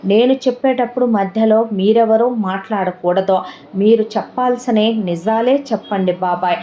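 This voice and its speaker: A resolute young woman presses her point in slow, weighty, repeating phrases, as a woman storyteller voices her. Her tone is mellow and soft. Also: mid-range voice, a little hiss or hum, close to an everyday voice, rough recording. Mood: solemn insistent. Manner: measured, rhetorical and emphatic. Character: determined young woman revealing hidden truths.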